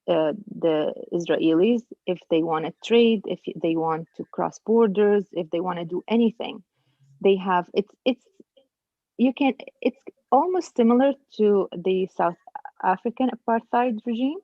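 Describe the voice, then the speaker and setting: A woman in a group discussion explaining her view earnestly, with halting restarts. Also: on the low side, no room sound. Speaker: book discussion participant. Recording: tinny phone-like line.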